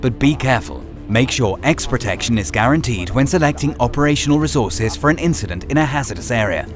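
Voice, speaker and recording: A man reading a scripted training film narration in a measured, instructional manner over music. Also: mid-range voice, expressive.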